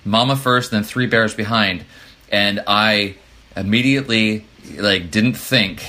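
Male co-host, lowish and bright, animated anecdote teller. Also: unhurried. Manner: animated storyteller, jumps into silly voices. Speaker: podcast co-host. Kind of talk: casual podcast chat.

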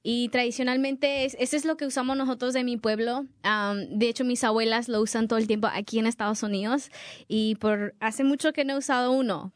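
A young woman from Guatemala answers interview questions in a soft, hesitant way, with many small pauses and fillers. Her voice sits a little high and sounds smooth and resonant. The recording is close and dry. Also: fairly even intonation, no echo. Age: young adult. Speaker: guest.

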